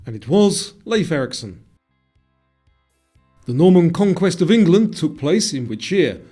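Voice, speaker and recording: A man's voice: a little high, very animated, a little slow, dark tone, slightly rough, faint music behind. A man presenting a quiz in scripted narration, reading out questions and answers.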